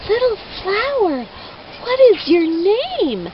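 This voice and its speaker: A woman's voice: extremely high pitch, sing-song, takes it slowly, far from the mic, pitched above the performer's own voice, full character voice. A woman voicing a timid, earnest young female pilgrim in a children's story, plain and childlike. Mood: earnest, curious. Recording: muffled, noisy amateur recording.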